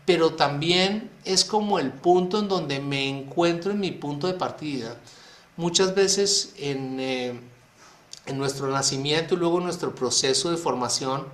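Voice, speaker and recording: A man leads an informal astrology lesson for a private group, walking through ideas one at a time and often asking questions aloud before answering them himself. His voice sits a little high for a man and is full of everyday fillers. The recording carries a noticeable roomy echo. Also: fairly bright tone. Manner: explanatory, many rhetorical questions and fillers. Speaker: astrologer teaching a workshop. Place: online talk for a private group.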